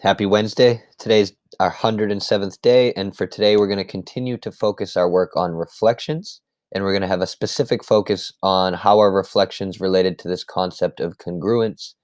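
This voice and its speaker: Male math teacher, low register, a little scratchy today, patient walkthrough delivery, dry close sound. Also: fairly even intonation, normal speed, a little mellow, no echo. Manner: patient step-by-step explaining. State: slightly hoarse. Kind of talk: screen-recorded lesson recap.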